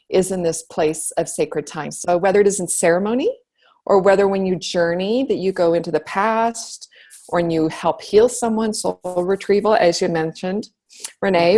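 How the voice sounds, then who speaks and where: A woman's voice: medium pitch, a little mellow. A woman guest speaker giving an earnest online talk as a shaman.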